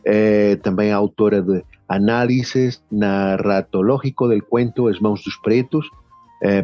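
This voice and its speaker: A man's voice: on the low side, a little mellow, dry recording, muffled recording. A man with a European Portuguese accent hosting a radio interview, hesitant and full of fillers. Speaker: radio host.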